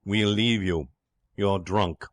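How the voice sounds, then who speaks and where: A man's voice: on the low side, moderate pace, a bit bright, no echo, lightly acted. A man voicing an indignant, stiffly dignified working-class father in an audiobook story. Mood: stern, dignified. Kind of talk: audiobook character acting.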